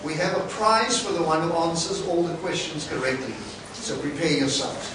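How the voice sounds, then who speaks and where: A man's voice: a little high, normal speed. Chatty South African man in an echoey classroom. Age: 40s. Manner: encouraging, chatty, laughs between points. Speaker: guest speaker and English teacher. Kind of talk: classroom talk. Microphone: distant camera mic. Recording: echoey room from far off, noisy.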